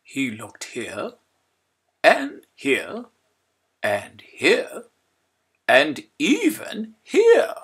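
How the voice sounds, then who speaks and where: A man reading a children's story aloud in an expressive, emphatic way. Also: on the high side, extremely slow, long pauses, slightly rough. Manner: expressive, emphatic, repeating phrases for effect. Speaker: storybook reader. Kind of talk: animated children's read-aloud narration.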